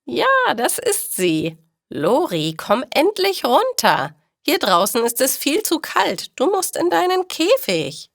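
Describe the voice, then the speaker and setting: A woman's voice: high-pitched, wildly swooping pitch, takes it slowly, vocal fry, no echo, clean studio sound, voice pushed up, exaggerated voice. A woman voicing an emphatic, courteous lady, a flustered pet owner in a storybook. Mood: urgent coaxing. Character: embarrassed, polite lady who owns a noisy parrot.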